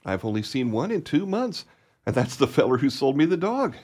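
An actor playing a kindly, talkative old country caretaker in a radio play, folksy and a little hesitant. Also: male voice, mid-range voice, very animated, a little fast, a little mellow, husky. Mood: genial. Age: older.

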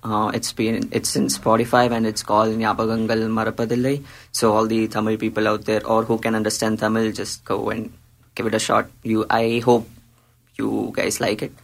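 A man's voice: fairly low pitch, completely flat delivery, normal speed, vocal fry. A young man student and club officer talking modestly and plainly about his hobbies. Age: young adult. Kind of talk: casual interview chat.

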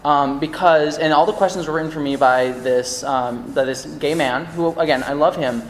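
A man's voice: on the high side, normal speed, a little hiss or hum. A man on a podcast panel, speaking earnestly in a rambling way with many fillers. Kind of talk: casual panel discussion. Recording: echoey live room, long reverb.

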